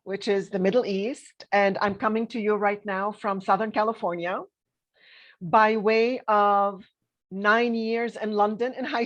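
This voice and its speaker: A woman in her fifties, born in Lebanon and raised speaking Arabic, guests on a podcast and recounts her past in an easy conversational tone. She talks at an even middle pitch, stopping often with ums and small restarts as she gathers her thoughts. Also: lo-fi sound. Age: 50s. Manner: reflective, with frequent ums and self-corrections. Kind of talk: conversational interview, telling her life story.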